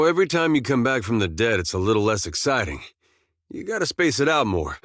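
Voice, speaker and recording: Game companion: easygoing farmer turned adventurer, fairly deep, deadpan folksy banter, dry studio sound. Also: male voice, dry recording. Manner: laid-back, deadpan, folksy drawl phrasing. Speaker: video game companion character.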